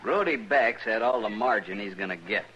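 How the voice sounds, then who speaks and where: An American actor playing an angry, stubborn cattle rancher in a radio western, with a gruff, blunt, rustic way of talking. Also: male voice, on the low side, lively intonation, moderate pace, fairly bright tone. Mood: threatening. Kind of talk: old-time radio western acting. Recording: muffled old radio broadcast with hiss.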